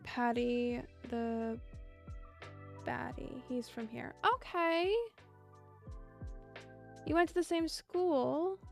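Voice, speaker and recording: A woman's voice: high voice, steady tone, drawn-out words, vocal fry, long pauses, over music. A young American woman chatting casually with viewers. She speaks in a meandering, thoughtful way and laughs often. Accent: General American. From United States. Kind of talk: casual chat.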